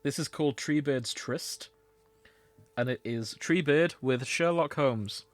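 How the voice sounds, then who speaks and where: A man's voice: mid-range voice, takes it slowly, no room sound. A man hosting a podcast in an informal, amused, chatty way. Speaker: podcast host. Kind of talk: casual comedic podcast chat.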